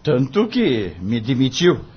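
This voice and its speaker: Discouraged young male lawyer, Brazilian accent, respectful pleading manner, slightly rough voice, muffled radio drama recording. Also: medium pitch, sing-song, takes it slowly, exaggerated voice. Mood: dismayed.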